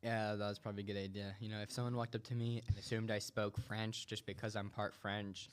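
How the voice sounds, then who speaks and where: A man's voice: fairly low pitch, flat delivery, fast talker, noisy background. A young man hosting a casual comedy chat, laughing often and rambling with many fillers. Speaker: host.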